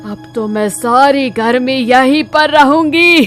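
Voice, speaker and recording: A woman voicing a comfort-loving, grumbling cartoon witch who exclaims and talks to herself in a drawn-out, dramatic way. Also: high voice, fairly bright tone, soft background music. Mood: delighted, gloating. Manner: exclaiming, self-talking, drawn-out dramatic delivery. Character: heat-weary female witch, grumbling, comfort-loving, childishly delighted. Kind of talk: Hindi cartoon moral-story acting.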